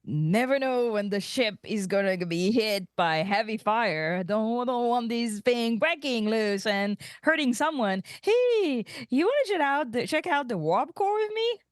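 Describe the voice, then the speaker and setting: Woman playing an awkward, boastful young male officer, animated. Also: on the high side, a little slow, few pauses, no room sound, clean studio sound. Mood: flustered. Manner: stammering, trying to sound cool. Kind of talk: table read of animated sci-fi comedy script.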